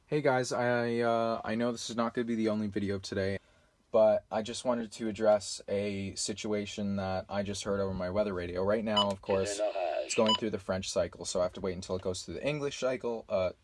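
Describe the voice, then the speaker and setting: A man's voice: lowish voice, steady tone, fairly quick, dry recording. A man talking frankly and a little hesitantly in an unscripted YouTube vlog.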